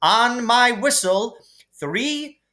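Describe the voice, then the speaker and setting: A man voicing a strict, brisk woman flying instructor who barks orders, in a children's storybook reading. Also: very high voice, animated, sharp tone, voice pushed up, heavily acted. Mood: commanding. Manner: commanding, barking orders.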